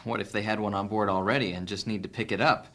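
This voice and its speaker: Casting: shrewd adventurer, fantasy audio drama, fairly deep, deliberate and resolute. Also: male voice, fairly even intonation, sharp tone. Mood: focused, urgent.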